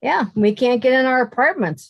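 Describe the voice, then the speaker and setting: A sardonic, level-headed young woman, voiced by a woman who answers with quick, dry wisecracks. Her voice sits a little high and sounds dry and close. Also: expressive, creaky, no room sound, muffled recording, full character voice. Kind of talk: radio comedy acting.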